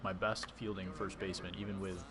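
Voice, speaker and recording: A man's voice: deep voice, level tone, fairly quick, a crowd in the background. A man casually commentating his own baseball video game on a live stream, chatting with and answering his viewers as he plays. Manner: chatty, reads and answers chat while playing.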